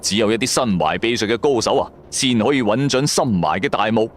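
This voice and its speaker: A man's voice: fairly low pitch, animated, a little fast, a little hiss or hum. A man with a Cantonese accent, narrating a first-person story in a dramatic audio drama style.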